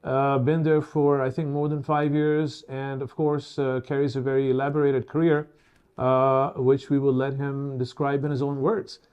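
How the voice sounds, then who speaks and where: A man in his fifties hosting a semi-formal webinar, speaking in halting, formally worded phrases. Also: medium pitch, flat delivery, darkish tone, smooth, muffled recording. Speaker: webinar host.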